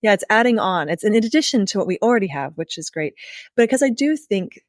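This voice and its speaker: A woman's voice: mid-range voice, lively intonation, brisk, no echo. Affirming woman hosting a podcast, dry. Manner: affirming, conversational, builds on the guest's points. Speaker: podcast host. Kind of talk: podcast interview.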